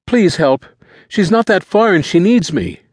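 A man's voice: on the high side, sing-song, normal speed, no echo, studio quality, pitched above the performer's own voice. A man voicing a frantic, terrified teenage boy who pleads urgently for help in a story. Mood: desperate.

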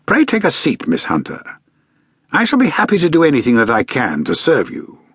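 Guy doing a world-weary british detective, theatrical, swoopy pitch, husky, audiobook style. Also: huge pitch swings, a little fast, fairly bright tone, no echo, studio quality, heavily acted. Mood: brisk, courteous. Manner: languid, theatrical, precise diction. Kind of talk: audiobook character acting.

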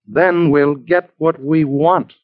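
An American actor playing a scheming crook who poses as a kindly doctor in an old-time radio crime drama, with soothing, patronizing talk. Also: male voice, on the high side, fairly even intonation, slow pace, faint music behind. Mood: sly, smug. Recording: muffled vintage radio broadcast recording.

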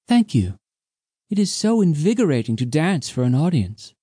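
Man putting on a soft-voiced ageless sea maiden. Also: on the high side, big pitch swings, normal speed, husky, no room sound, clean studio sound, voice pushed up. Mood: wistful. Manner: soft, precise, lilting. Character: mysterious ancient sea woman, young-seeming, whimsical.

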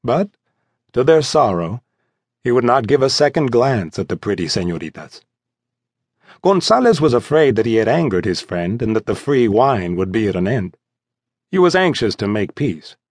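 A male narrator reads a scripted adventure story. His mid-pitched voice rises and falls in a lively, dramatic way. The recording is close and dry, with no room sound. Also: moderate pace, breathy edge, no room sound. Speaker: audiobook narrator. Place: dry studio.

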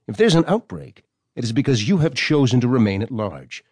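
An actor playing a stern, formal government doctor reading out his correspondence in a measured, condescending way for an audio drama. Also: medium pitch, very animated, a little mellow, breathy edge, no room sound. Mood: stern, reluctant.

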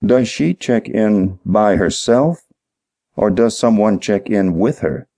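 A world-weary police detective, played by a male narrator, deep-voiced and sarcastic. He questions deliberately, with thinly held impatience, in a crime story. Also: expressive, dark tone. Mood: patient, probing.

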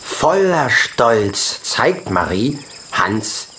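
Very animated, slow male storyteller. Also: mid-range voice, slight background noise. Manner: expressive storytelling in short measured phrases. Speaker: audiobook narrator. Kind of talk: scripted children's story narration.

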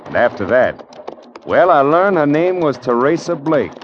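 An American actor playing a steady, wry frontier man in an old radio western, measured and dry, sometimes narrating his own tale. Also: on the high side, slight background noise. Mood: measured narration. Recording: muffled vintage radio recording with music.

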